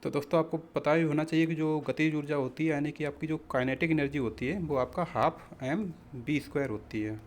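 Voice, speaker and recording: A man with a somewhat high-pitched voice works as an exam-prep teacher. He explains practice questions in an instructional manner. He often speaks to his listeners directly as friends. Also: brisk, few pauses, a little hiss or hum.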